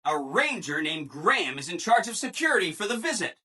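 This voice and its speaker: A man's voice: high voice, big pitch swings, brisk, sharp tone, slightly rough, exaggerated voice. A man voicing a relentlessly cheerful, eager-to-please robot assistant in a video game, with a perky, over-polite manner. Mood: cheerfully explanatory. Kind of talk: video game character dialogue.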